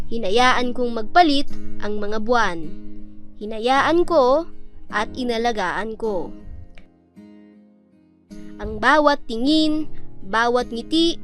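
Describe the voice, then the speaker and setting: A woman's voice: a little high, animated, takes it slowly, long pauses, fairly bright tone, soft background music. A woman playing a young female student telling her love story in an earnest, poetic radio drama narration. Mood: tender, reflective.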